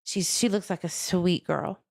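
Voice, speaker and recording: Casting: female livestream host, low register, casual earnest commentary on true crime. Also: a little slow, creaky. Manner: conversational, earnest, addresses viewers directly.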